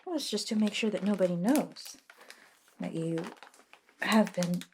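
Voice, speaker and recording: A woman playing a friendly, reluctant modern witch in a soft-spoken, gently informal ASMR roleplay that slips into whispers. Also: mid-range voice, animated, unhurried, slight background noise, natural voice. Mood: soft, soothing.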